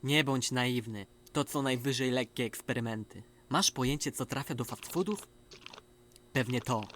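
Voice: medium pitch, moderate pace, fairly bright tone, slight background noise, lightly acted. An actor voicing a cynical, foul-mouthed, sarcastic young boy with a scornful manner in a cartoon fan dub. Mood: dismissive. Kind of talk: animated series fan dub.

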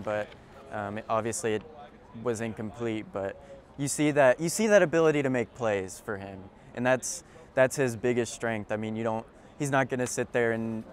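A young man giving postgame analysis outdoors in a stadium at night on a lapel mic, thinking aloud with frequent restarts and ums. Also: medium pitch. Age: young adult. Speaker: co-host and sports editor. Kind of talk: postgame sports analysis chat.

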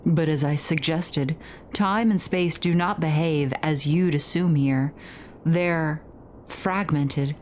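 A woman voicing a cryptic ghostly spirit in a horror game, speaking in a measured, formal, portentous way. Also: sits low, darkish tone, a little hiss or hum. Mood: grave warning. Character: solemn, cryptic ghostly spirit warning of danger. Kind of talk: reading horror game dialogue aloud.

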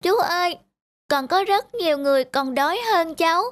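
A woman's voice: extremely high pitch, moderate pace, no room sound, voice pushed up. A woman voicing a humble, selfless nine-year-old boy in a cartoon, speaking politely. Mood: earnest.

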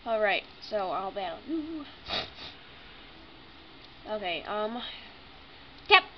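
A woman's voice: mid-range voice, sing-song, slow pace. A young woman with a stuffy nose chatting casually and apologetically while playing a game. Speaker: gaming YouTuber. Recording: lo-fi home recording, noisy background.

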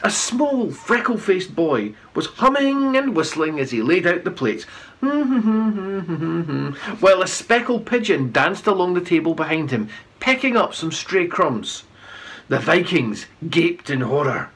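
A middle-aged man narrating a children's storybook aloud in an animated read-aloud style. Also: high voice, slow pace, breathy edge. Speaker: children's author reading aloud.

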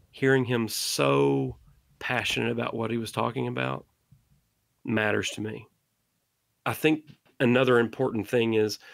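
A middle-aged man talks as a podcast guest, his voice carrying a gravelly rasp. He speaks with earnest conviction, pressing his listeners to keep making things. The sound is dry and close, with no room around it. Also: medium pitch, fairly bright tone, no echo. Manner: impassioned, exhorting listeners. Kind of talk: earnest interview monologue.

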